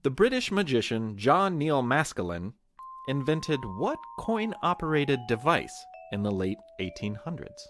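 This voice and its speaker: A man's voice: medium pitch, sing-song, a little slow, fairly bright tone, a little hiss or hum. An American man reading a playful scripted quiz as host, talking straight to the listeners. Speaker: podcast host and quizmaster.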